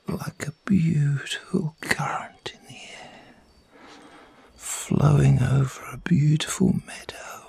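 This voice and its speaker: A man in his fifties whispers very softly in a husky, breath-heavy voice with some gravel in it. He guides an ASMR relaxation as a healer, in short, soothing phrases with long gaps between them. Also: on the high side. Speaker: reiki healer. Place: small room at home, indoors.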